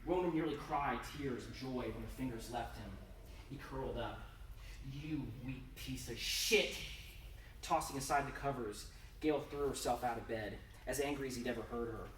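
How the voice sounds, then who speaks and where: A man's voice: mid-range voice, a little fast. A man giving a theatrical, emphatic live reading of his own horror story as its author. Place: indoor convention event room. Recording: roomy, noisy live room, distant mic.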